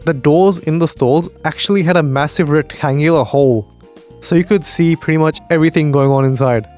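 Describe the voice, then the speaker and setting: A man's voice: a little high, a little fast, a little mellow, faint music behind. A young man giving casual reaction commentary, blunt and opinionated, swearing freely. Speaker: reaction video host.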